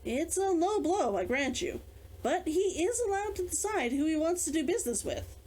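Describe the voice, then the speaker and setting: A woman reading aloud a storybook man who is measured, matter-of-fact and teasing. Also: very high-pitched, big pitch swings, a little mellow, voice pushed up, rough recording.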